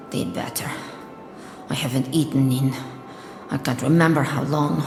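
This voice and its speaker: In a reverberant space with music underneath, a hungry, scornful woman soldier. She is the lone survivor of a war, musing to herself in fragments as in a radio play, at a slow pace. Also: sits low. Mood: weary, hungry. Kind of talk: dramatic audio drama monologue.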